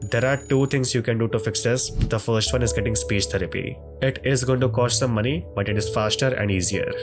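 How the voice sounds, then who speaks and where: A man with a fairly low voice and a blocked nose from a cold, speaking English as a non-native speaker. He narrates a scripted tutorial in a measured, deliberate way, with guitar music clearly heard behind him. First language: not English. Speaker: speaking coach.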